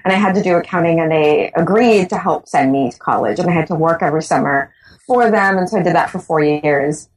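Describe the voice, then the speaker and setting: Low-voiced woman from the Bahamas. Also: fairly quick, poor audio. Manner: thoughtful, many restarts and fillers. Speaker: guest author. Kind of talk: interview answers.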